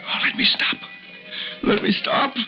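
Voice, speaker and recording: An actor playing a tormented, brooding husband in an intense, repetitive 1940s radio melodrama style. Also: male voice, a little slow, natural voice. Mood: anguished pleading. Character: tormented husband driven by a murderous compulsion. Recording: dull old radio recording, music behind.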